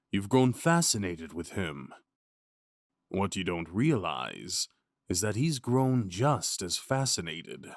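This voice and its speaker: A man narrating a scripted fantasy story in a measured way, with dramatic pauses. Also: lowish voice, no room sound, clean studio sound. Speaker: narrator and voice performer.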